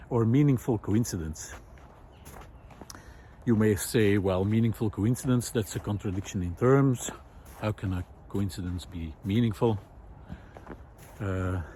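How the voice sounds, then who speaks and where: A man giving an informal, reflective solo talk in a thoughtful, halting way, pausing deliberately for emphasis. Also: fairly low pitch, a little mellow, a little hiss or hum. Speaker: host of a personal audio series.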